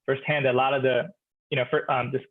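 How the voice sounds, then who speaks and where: A man speaking as a literature professor in a relaxed expert discussion, thoughtful and hesitant, with many restarts and filler sounds. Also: mid-range voice, quick pace, phone-quality sound.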